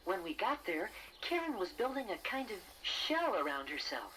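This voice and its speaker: A man's voice: sits high, big pitch swings, normal speed, pushed and bright, slight background noise, exaggerated voice, low-quality recording. A man voicing a friendly, earnest young bear who narrates a children's storybook in a boyish manner. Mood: gentle storytelling. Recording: 1980s cassette with music behind.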